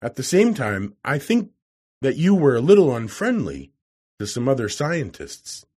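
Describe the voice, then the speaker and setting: Audiobook role: young journalist read by a man, well-mannered bluffer, animated intonation, husky voice. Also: mid-range voice, measured pace, exaggerated voice. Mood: polite, meek. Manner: polite, bluffing. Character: polite young male journalist posing as a student. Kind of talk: audiobook character voice.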